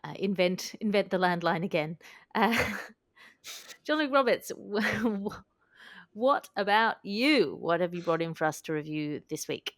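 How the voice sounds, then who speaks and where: A woman's voice: medium pitch, expressive, slow pace, no echo, clean studio sound. A woman hosting a comedy podcast, chatting in an articulate, wordy and wry manner. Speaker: podcast host. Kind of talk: comic panel chat.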